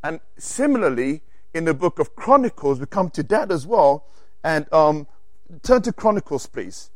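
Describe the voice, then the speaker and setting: Animated preacher with an accent. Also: male voice, fairly high pitch, normal speed, no room sound. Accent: non-native English. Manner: animated, reads scripture aloud, self-correcting asides. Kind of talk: sermon teaching from scripture.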